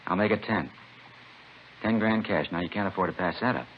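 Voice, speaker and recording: A man's voice: low voice, a little fast, close to an everyday voice. An American man playing a drug dealer in a vintage radio drama, placating and bossy by turns. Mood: urgent, bargaining. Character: smooth-talking male drug dealer, placating but bossy. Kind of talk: 1950s radio drama acting. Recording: muffled, hissy vintage radio recording.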